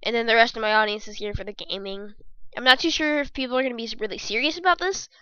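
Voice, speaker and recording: A young woman with a squeaky voice hosting a casual gaming podcast from her bedroom, rambling and joking as she talks. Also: quick pace, pushed and bright, smooth, no room sound, dull audio. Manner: rambling, jokey, self-correcting. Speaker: podcast host.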